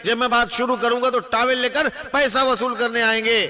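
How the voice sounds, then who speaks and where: An actor playing a glib, small-time party orator in dubbed film dialogue, wordy and declamatory. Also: male voice, very high voice, level tone, a little fast, pushed and bright, exaggerated voice. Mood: mock aggrieved. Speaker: small-party political speaker.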